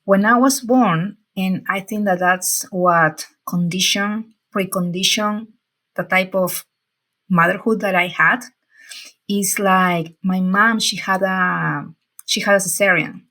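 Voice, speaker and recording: Woman in her forties, bright. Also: medium pitch. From Peru. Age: 40s. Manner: halting, searching for words, frequent fillers. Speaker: guest. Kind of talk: casual interview talk.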